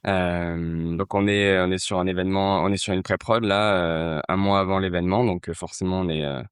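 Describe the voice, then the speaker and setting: A low-pitched man from Marseille speaks with a smooth, resonant voice. He talks casually and hesitantly, with frequent fillers and restarts, recorded dry and close. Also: steady tone, moderate pace, dry recording. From Marseille, France. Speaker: festival co-founder, guest. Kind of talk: casual interview talk.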